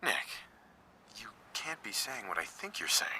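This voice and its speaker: An earnest survivor from a video game, low in pitch with a very bright, forceful edge. He speaks as a supportive, reassuring companion, over faint noise in the capture. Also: big pitch swings, measured pace, exaggerated voice. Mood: disdainful, wary. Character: earnest, supportive male survivor from an earlier era. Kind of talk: video game dialogue acting.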